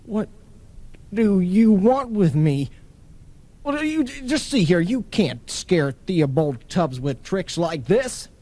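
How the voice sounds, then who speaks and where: An actor playing a pompous, blustering skeptical husband who sputters when flustered, in old-time radio comedy style. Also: male voice, high voice, sing-song, slow, mellow, heavily acted. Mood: flustered, defiant.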